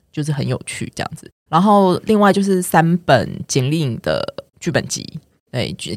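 Man, Taiwanese Mandarin accent, medium pitch, informal podcast talk, dry sound. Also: creaky, dry recording. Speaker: podcast guest, theatre and publishing professional. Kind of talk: casual podcast chat.

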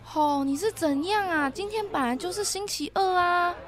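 A woman's voice: extremely high pitch, normal speed. A woman playing a blunt, teasing young school classmate in an amateur audio drama. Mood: teasing, doubting. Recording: crowd chatter behind the voice.

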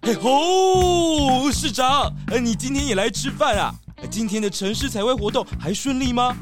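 A man's voice: very high voice, expressive, moderate pace, music in the background. A man voicing a jolly, bashful brown bear who runs a hot-pot shop in a children's radio drama, with a hearty, welcoming manner. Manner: hearty, exclaiming, hospitable.

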